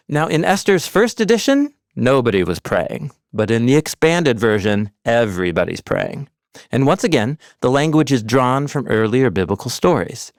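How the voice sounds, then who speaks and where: A man's voice: mid-range voice, dry recording. Dry, close-miked male explainer narrator. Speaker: narrator of an animated Bible overview. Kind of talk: scripted explanatory narration with conversational asides. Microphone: close studio voice-over mic, dry.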